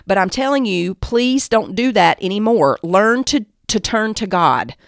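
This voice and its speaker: A woman's voice: mid-range voice, expressive, a little slow, vocal fry, no echo. Emphatic female Bible teacher, dry close sound. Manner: emphatic, exhorting, repeats phrases for weight. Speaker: Bible teacher and podcast host. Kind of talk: devotional teaching talk.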